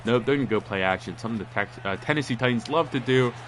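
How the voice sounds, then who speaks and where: A man with a congested, nasal voice giving casual play-by-play commentary on a football video game, rambling and getting louder on big plays. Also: medium pitch, quick pace, slight background noise. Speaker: gaming commentator.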